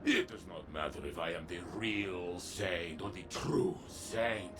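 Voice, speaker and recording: A man playing a solemn, resolute robot warrior weathered by centuries in a video game, speaking in a grave, earnest, deliberate way. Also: low-pitched, animated, noisy background. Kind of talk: video game character monologue. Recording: music under the voice.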